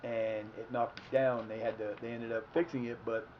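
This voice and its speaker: Fifties Ohio man, livestream host, chatty and wandering, mid-pitched voice on dull lo-fi audio. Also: level tone, normal speed, a little hiss or hum. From Ohio, United States. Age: 50s. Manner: meandering, self-correcting, folksy. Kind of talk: rambling casual storytelling.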